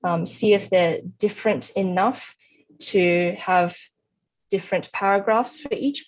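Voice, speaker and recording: Low-voiced young woman, slightly muffled recording. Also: a little mellow. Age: young adult. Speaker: interviewee, high-achieving former student. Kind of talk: conversational study advice.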